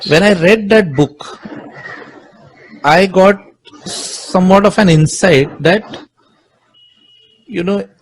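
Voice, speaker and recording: A middle-aged Indian man with an Indian English accent, a Marathi speaker and music composer, answering interview questions with many pauses and restarts. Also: fairly high pitch, rough recording. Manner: hesitant, many fillers and restarts.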